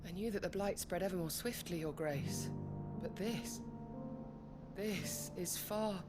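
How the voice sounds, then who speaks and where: A woman's voice: lowish voice, measured pace, loud music behind the voice, natural voice. An actress playing an alarmed female courtier reporting grave news in a formal, urgent way.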